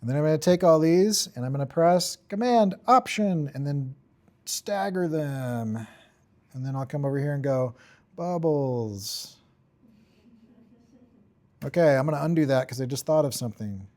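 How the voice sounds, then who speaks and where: A man giving an informal software tutorial, thinking aloud in short, offhand fragments as he works. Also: fairly high pitch, lively intonation, moderate pace, long pauses. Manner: offhand, talks in short fragments while working. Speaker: tutorial instructor.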